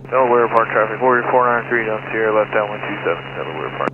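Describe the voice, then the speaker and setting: A man's voice: on the low side, fast talker. A man making clipped pilot position calls over aircraft radio. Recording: narrow, noisy aviation radio transmission.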